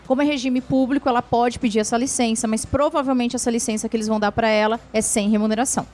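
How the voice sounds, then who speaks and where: A woman's voice: a little high, fairly even intonation, quick pace, darkish tone, a little hiss or hum. A woman lawyer answering viewer questions on a TV show, explaining patiently with frequent tag questions and restarts. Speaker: lawyer, guest expert.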